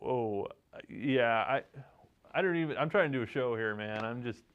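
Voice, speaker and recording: Male host for comedy banter, mid-pitched, halting and deadpan, on a dull lo-fi recording. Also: clean tone. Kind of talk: casual bantering monologue and interview.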